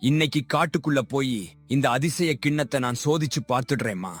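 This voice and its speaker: Man playing an earnest young village goatherd, mid-pitched. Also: brisk, soft background music, close to an everyday voice. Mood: sincere. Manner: earnest, emotional. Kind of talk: animated moral story acting.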